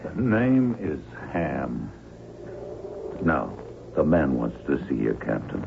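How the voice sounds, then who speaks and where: An actor playing a gruff, surly, muscle-bound henchman with a gravelly voice in an old-time radio drama, talking tersely. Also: very deep voice, very animated, slow, full character voice. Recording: muffled, noisy old radio recording.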